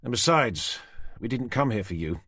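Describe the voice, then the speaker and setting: A man voicing a firm, measured male detective inspector in an audiobook. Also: medium pitch, big pitch swings, a bit bright, dry recording, studio quality. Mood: placating. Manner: firm, placating, controlled.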